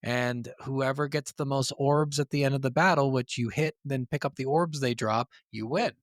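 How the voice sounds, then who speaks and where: A man's voice: medium pitch, moderate pace, dry recording, clean studio sound. A man co-hosting a podcast, talking casually and enthusiastically, with slangy speech and frequent swearing. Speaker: podcast co-host. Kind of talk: casual gaming podcast chat.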